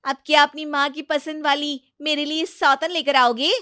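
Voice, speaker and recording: Shrill boastful young wife, a woman voicing her. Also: little pitch movement, moderate pace, no echo, clean studio sound. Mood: scornful. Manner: loud, whiny complaining. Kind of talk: cartoon voice acting.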